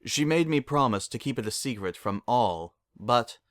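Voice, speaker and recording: A man's voice: medium pitch, animated, moderate pace, no echo, studio quality, close to an everyday voice. A man voicing a stern, imperious female pony princess of the night with formal, archaic, commanding speech. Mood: measured, regal.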